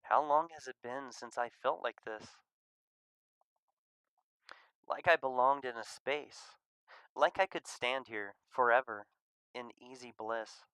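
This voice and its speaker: A man reading a visual novel aloud as expressive scripted narration. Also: medium pitch, a little slow, smooth, no echo.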